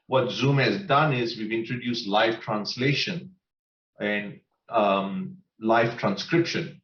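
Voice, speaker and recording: Male panelist on a video call, confident explainer, roomy distant sound, slightly dull line. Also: mid-range voice. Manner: confident, explanatory, tags with "right?". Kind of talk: webinar panel answer.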